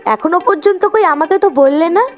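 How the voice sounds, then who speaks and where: A woman's voice: very high-pitched, normal speed, faint music behind. A woman voicing a suspicious, fretful young village wife in a cartoon story. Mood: worried suspicion. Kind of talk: cartoon voice acting.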